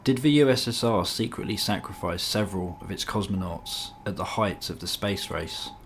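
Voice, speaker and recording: A man's voice: low voice, moderate pace, a little hiss or hum. A man reading a scripted nonfiction piece as a documentary-style narrator.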